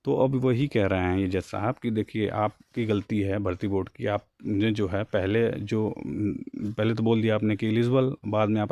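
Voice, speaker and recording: A man's voice: on the low side, dark tone, dry recording. A man giving an informal, colloquial explainer, mixing in English terms as he reads out and paraphrases a document. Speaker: job news YouTuber.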